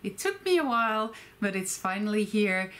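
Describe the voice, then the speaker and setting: Slow, easygoing young woman reacting at home. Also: mid-range voice. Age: young adult. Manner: relaxed, chatty, chiming in over a clip. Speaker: reaction YouTuber. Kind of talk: casual reaction commentary. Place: home room with bookshelves.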